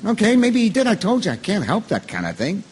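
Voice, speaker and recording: A man playing an excitable, indignant, self-righteous juror in a stage drama, stammering and emphatic as he defends himself. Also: high-pitched, fast talker, husky, long reverb tail, exaggerated voice. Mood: defensive, worked up.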